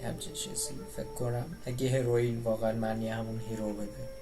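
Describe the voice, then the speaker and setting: A man's voice: mid-range voice, flat delivery, racing, music in the background. A man giving casual, informal commentary as he plays a video game, muttering his reactions. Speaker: game streamer playing and commenting.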